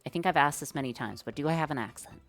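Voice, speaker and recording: A woman with a strikingly deep voice for her sex, talking her way through code on a live stream. She muses aloud, drops slang and fillers, and chats loosely with her audience. Faint music plays behind her. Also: fast talker. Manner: thinks aloud while coding, slangy and chatty. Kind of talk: live-stream coding chat.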